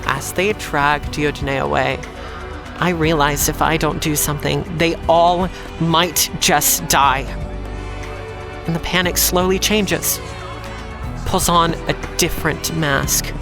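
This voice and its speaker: A woman's voice: low voice, steady tone, slow. A woman playing a defiant young street fighter who tells their story in a noir audio drama. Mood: tense. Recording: dramatic music behind.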